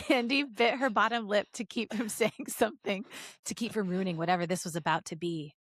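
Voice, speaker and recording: A woman's voice: mid-range voice, no room sound, clean studio sound. A woman podcast host reading a story aloud in a playful manner, slipping into joking banter and asides. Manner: playful, breaks off for jokey asides.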